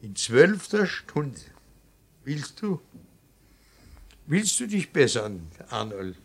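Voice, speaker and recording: An actor playing a stern, anguished middle-aged father in a classic German radio drama, raspy and insistent, moving between reproach and pleading. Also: medium pitch, big pitch swings, slow pace, exaggerated voice. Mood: earnest, urging. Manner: insistent, theatrical, swings from biting reproach to pleading.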